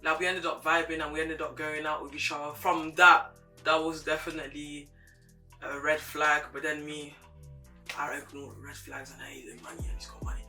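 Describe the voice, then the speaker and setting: A young woman with a deep voice, a guest speaking candidly and reflectively in an interview. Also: level tone, a little fast, pushed and bright. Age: young adult. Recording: distant mic with music playing behind.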